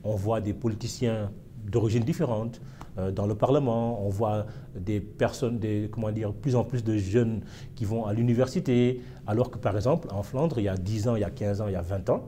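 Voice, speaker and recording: A man with a low, mellow voice, giving an interview in a thoughtful, hesitant way, his sentences full of small fillers. A faint hiss sits under the recording. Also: normal speed. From Senegal. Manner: reflective, with many fillers and hesitations. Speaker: interviewee, historian. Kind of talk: casual interview.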